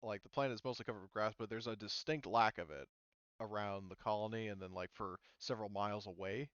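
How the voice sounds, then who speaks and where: A man running a tabletop game as game master in casual speech, often halting and thinking aloud mid-sentence. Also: lowish voice, a bit bright, smooth, no room sound, highs cut off.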